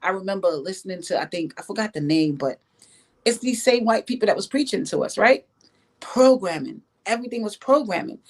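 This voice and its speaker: A woman's voice: medium pitch, expressive, brisk. A woman from Jamaica giving opinions in a podcast discussion, speaking emphatically and repeating phrases to drive them home. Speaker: podcast guest.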